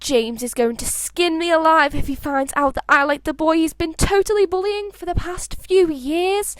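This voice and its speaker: Girl narrator doing panicky teen boy in a fanfic reading, high voice, super dramatic inner monologue, dry sound. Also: normal speed, no room sound. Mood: panicked. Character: panicky, melodramatic lovestruck teenage boy.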